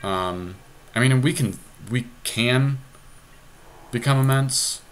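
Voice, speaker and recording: A man's voice: medium pitch, slow pace, smooth. A man casually thinking aloud as he co-hosts commentary on an online card game. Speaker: podcast co-host playing an online card game. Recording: noticeable background noise, amateur home capture.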